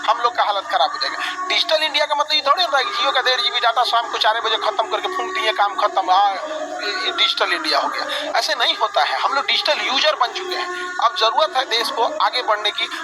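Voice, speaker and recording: A man with a Bihari accent teaches in a very high-pitched voice. He speaks colloquially and emphatically, hammering points home with repetition and rhetorical questions. Loud music plays behind him. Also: fairly quick, poor audio. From India. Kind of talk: animated classroom lecture on current affairs.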